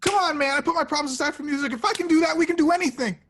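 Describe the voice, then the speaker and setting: A woman playing an earnest, easily flustered young male rock bassist in a table read. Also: racing, close to an everyday voice. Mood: determined. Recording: noisy home mic with clicks.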